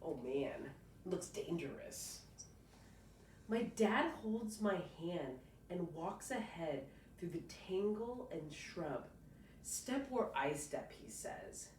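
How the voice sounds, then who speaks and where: A woman with a fairly low voice reads aloud as a teacher would, with a lively range and unhurried pacing. She stops to explain and ask questions in an encouraging way. The recording is roomy and echoing. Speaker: reading teacher. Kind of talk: read-aloud lesson with questions to a child. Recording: roomy, distant mic, faint noise.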